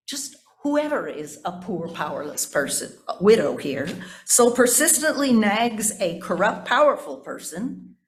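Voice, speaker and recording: A woman pastor giving a sermon that explains scripture, stressing key words emphatically. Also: medium pitch.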